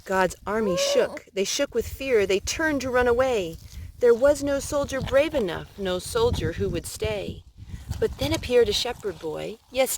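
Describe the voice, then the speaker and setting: A woman with a fairly high voice reads a children's picture book aloud. Her delivery is expressive and deliberate, made for storytime. There is some light noise behind her in what sounds like a home recording. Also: poor audio.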